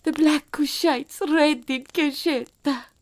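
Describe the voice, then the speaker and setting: A man reading an audiobook voices a frightened young noblewoman captive, pleading in a hushed, breathless falsetto. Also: fairly even intonation, slow, exaggerated voice.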